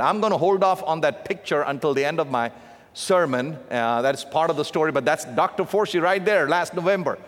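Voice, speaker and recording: A man in his fifties with an Indian accent, preaching to a church congregation with animated asides. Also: on the high side. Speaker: missionary preacher. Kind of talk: sermon. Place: large church sanctuary. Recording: long reverb of a big hall.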